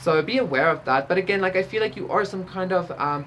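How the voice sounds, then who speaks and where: Woman, Afrikaans speaker, casual tarot reading, lowish voice, chatty with lots of fillers, faint hiss behind. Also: fast talker, a bit bright. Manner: chatty, lots of "like" and "okay?" tags. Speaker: tarot reader.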